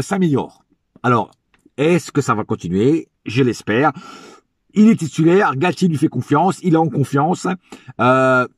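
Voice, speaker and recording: A man's voice: medium pitch, slightly rough, no echo, clean studio sound. A man giving a casual, chatty match review as a football fan, hesitant, with many fillers and restarts.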